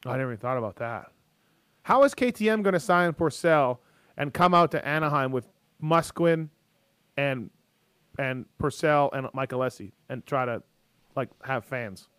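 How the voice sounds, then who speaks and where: A man in his thirties hosting a casual radio show, joking and quick with banter. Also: mid-range voice, animated, a bit bright, clean tone, no room sound. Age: 30s.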